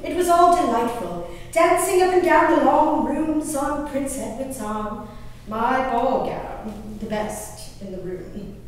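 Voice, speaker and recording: A woman on stage playing a spirited, haughty colonial English gentlewoman reading her diary, in prim, emphatic, old-fashioned formal diction with savored pauses. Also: high voice. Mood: gleeful, excited. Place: indoor hall, live performance recorded from a distance. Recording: roomy echoing hall, distant mic, faint noise.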